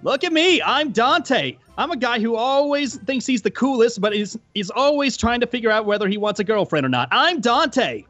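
A man's voice: very high-pitched, very animated, normal speed, few pauses, a bit bright, dry recording, faint music behind, exaggerated voice. A man playing a nervous, dramatic young male friend in a game-world cartoon. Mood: mock cool.

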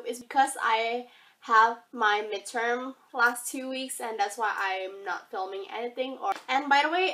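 Young female beauty vlogger, husky cold-roughened voice, upbeat, rambling delivery, recorded on a camera close by. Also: medium pitch, fairly bright tone. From Indonesia. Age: young adult. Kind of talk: casual product review vlog. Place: bedroom, indoors. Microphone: camera facing her at arm's length.

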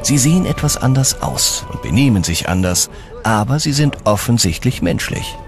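A man's voice: medium pitch, animated, normal speed, over music. A man reading scripted documentary narration in as the narrator.

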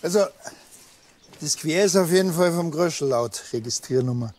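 An actor playing a sardonic, unruffled village policeman, deadpan and dry, in a comic audio drama. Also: a little high, normal speed.

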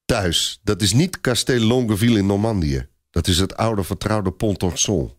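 A man's voice: lowish voice, moderate pace, no echo, clean studio sound. A man narrating a scripted story as a storyteller.

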